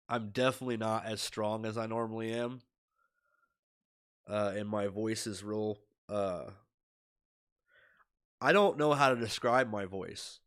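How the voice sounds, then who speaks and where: A man's voice: on the low side, fairly bright tone. A young man with a stuffy nose and a scratchy voice from illness, rambling worriedly with lots of fillers in a casual video diary to his webcam. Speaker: streamer. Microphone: webcam at desk.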